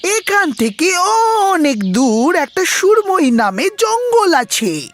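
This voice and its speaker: Woman as a scheming cartoon hawk, extremely high voice, lively intonation, bossy, music behind. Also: slow, full character voice. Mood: scheming, eager. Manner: bossy, persuasive. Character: cunning, ambitious hawk eager to rule the forest.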